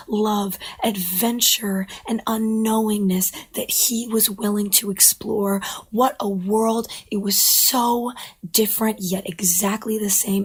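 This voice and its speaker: Woman storyteller, breathy whisper, slow and unhurried. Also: medium pitch.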